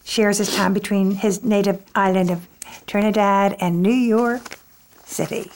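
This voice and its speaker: A woman's voice: medium pitch, steady tone, a little slow, a little mellow. A woman hosting an interview with a poet, introducing him and asking him questions with admiration. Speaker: radio host.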